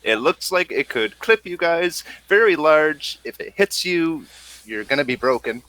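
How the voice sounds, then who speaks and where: A man casually narrating a tabletop roleplaying game as game master, halting and thinking aloud in an informal way. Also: on the high side, expressive, bright, forceful tone, no room sound, low-quality recording.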